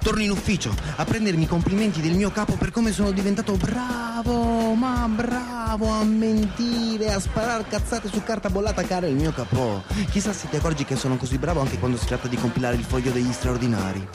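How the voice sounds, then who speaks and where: Male actor as cynical office worker, high-pitched and sarcastic, radio theatre monologue with music behind. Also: brisk, hardly any pauses, natural voice. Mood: sardonic. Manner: sarcastic, crude-mouthed. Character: cynical, sardonic male office worker, contemptuous of his city. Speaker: stage actor.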